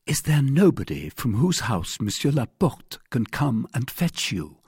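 A brave young guardsman in an audiobook, acted by a man, courtly and devoted. He speaks formally and earnestly in a husky voice with animated pitch, recorded very dry. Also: a little slow, no room sound, heavily acted. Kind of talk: audiobook character voice.

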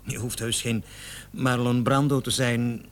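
A man's voice: mid-range voice, flat delivery, unhurried, close to an everyday voice. An actor in a radio play, a man playing a cocky travelling salesman in his thirties, defensive and hesitant under police questioning. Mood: flippant, boastful. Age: 30s. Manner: hesitant, stammering, protesting with nervous chuckles.

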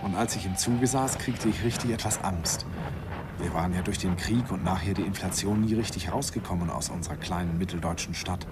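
A man's voice: low voice, brisk, heavy background noise, natural voice. An actor playing a middle-aged man who wistfully and self-mockingly recalls his youth in a radio play. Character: man in his forties recalling his student days. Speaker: narrator looking back on his youth. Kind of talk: radio drama narration.

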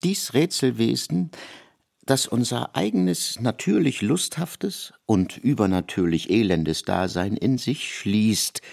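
A man's voice: lowish voice, creaky, heavily acted. A man narrating a literary radio play in a declamatory, emphatic way, savouring ornate words.

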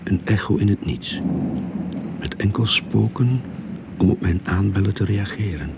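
A man's voice: on the low side, measured pace. An actor playing a weary, wry young novice detective, narrating his case in a radio play. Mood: wry, brooding. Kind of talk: radio drama narration and dialogue. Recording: radio play with clear background noise.

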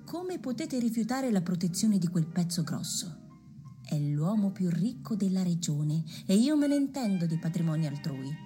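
An actress playing a worldly, mercenary madam, haughty, insistent and cutting in a theatrical radio drama. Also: female voice, lowish voice, very animated, moderate pace, mellow, soft background music. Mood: insistent scolding.

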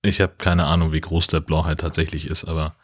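Deep, husky man, wry podcast co-host. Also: flat delivery, brisk. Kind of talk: casual podcast chat.

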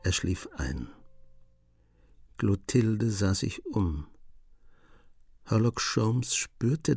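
Slow, low-voiced male narrator. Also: gravelly, warm tone, husky, no echo, clean studio sound. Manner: measured, storytelling. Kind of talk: scripted radio drama narration.

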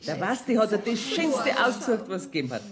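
A woman's voice: a little high, very animated, fast, a crowd in the background. An actress playing a shrewd, courteous Bavarian woman banker receiving clients in a radio drama. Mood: fond farewell. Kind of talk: German radio drama acting. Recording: 1970s radio production with clear noise.

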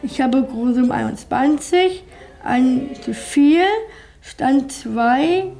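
Slow, high-voiced woman over music. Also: lively intonation, mellow. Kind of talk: reading out own autobiographical writing. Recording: music behind the voice.

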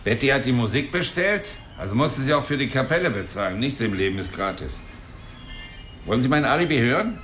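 A man's voice: mid-range voice, expressive, fairly quick, fairly bright tone, faint music behind, lightly acted. An actor in a radio play voicing a bitter, unkempt father who is sneering and defensive.

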